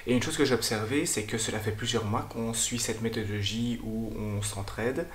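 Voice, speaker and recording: A man, a language teacher and channel host, talking to camera in a thoughtful, explanatory way, often pausing to hesitate. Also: lowish voice, steady tone, reverberant, a little hiss or hum. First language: French. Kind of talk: casual explanatory talk to camera.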